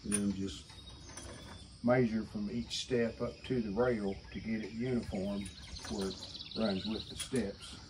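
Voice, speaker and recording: A middle-aged man with a fairly low voice talks plainly through a do-it-yourself job and thinks aloud as he goes. He is recorded on a camera mic outdoors, sometimes stepping away from it, with birds and yard noise clearly audible behind him. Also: steady tone, a little slow. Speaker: DIY channel host. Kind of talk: casual how-to narration. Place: outdoor concrete basement stairwell. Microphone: camera mic, sometimes far from camera. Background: outdoor ambience with birds.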